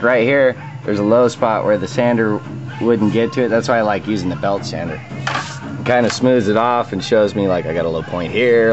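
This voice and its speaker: A dull, lo-fi recording with radio music faintly behind a man of average pitch. He talks like a guy in his workshop, casual and slangy, walking viewers through a hands-on job in plain words. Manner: informal, plain-spoken, slangy. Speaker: DIY auto body repair demonstrator. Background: radio music playing in the background.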